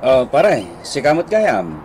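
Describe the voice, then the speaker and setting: A man's voice: mid-range voice, big pitch swings, takes it slowly, darkish tone. An actor playing a blunt friend who scolds his jobless pal in a preachy, emphatic way in a comedy radio drama. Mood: reproachful. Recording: clearly noisy ambience behind.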